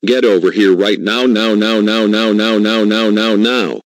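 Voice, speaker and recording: Furious, lowish synthetic cartoon parent man's voice. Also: level tone, unhurried, no echo, close to an everyday voice. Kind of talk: scripted animated dialogue.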